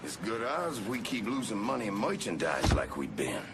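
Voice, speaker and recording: Lowish, animated mob henchman. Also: moderate pace, heavy background noise. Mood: sardonic. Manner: sardonic, colloquial. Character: cynical, crude mob henchman grumbling about his bosses. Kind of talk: video game cutscene acting. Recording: loud music over the voice.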